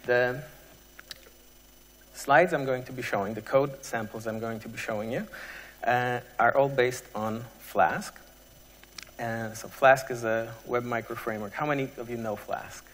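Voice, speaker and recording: Male conference presenter, medium pitch, halting technical delivery with frequent pauses, long hall reverb. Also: steady tone, fairly bright tone. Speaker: conference speaker.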